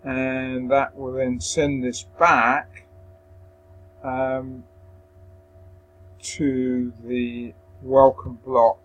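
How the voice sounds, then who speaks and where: A man presenting a software tutorial, explaining step by step in a hesitant way. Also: mid-range voice, level tone, extremely slow, long pauses, highs cut off. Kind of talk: software screen-recording walkthrough.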